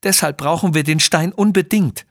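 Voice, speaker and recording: A man's voice: a little high, moderate pace, dry recording, clean studio sound, voice pushed up. A man voicing an earnest, pleading young Indian jungle guide in a children's audio story. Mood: desperate, pleading.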